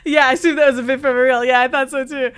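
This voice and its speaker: A woman hosting a casual livestream chat, her voice hoarse after losing it, giggling and chatting to her viewers. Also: high voice, steady tone, quick pace, a bit bright, faint music behind. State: hoarse, partly lost voice. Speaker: streamer host.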